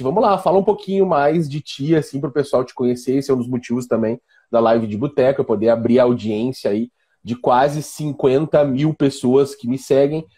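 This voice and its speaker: A man with a southern Brazilian accent from Rio Grande do Sul, hosting a casual, joking chat in an informal, teasing, rambling way, with a husky voice. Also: medium pitch, dark tone. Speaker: live-stream host; physiology and biomechanics professor.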